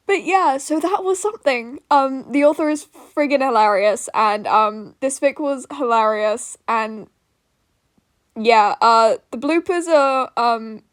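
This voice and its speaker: A woman's voice: a little high, dry recording, studio quality. A woman podfic narrator chatting casually to her listeners in an informal, giggly, self-deprecating way.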